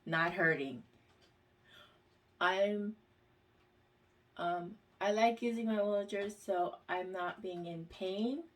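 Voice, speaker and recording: A woman vlogger reads questions aloud and answers them in a casual, halting way, with plenty of pauses and fillers. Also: mid-range voice, far from the mic. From United States.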